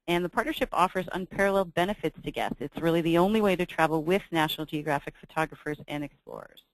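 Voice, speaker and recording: Woman host, slightly low voice, dry close sound, upbeat scripted webinar delivery. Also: no room sound, low-quality recording. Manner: reads from slides, brisk and upbeat with filler ums. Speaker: webinar host for a travel company. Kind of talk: scripted sales presentation.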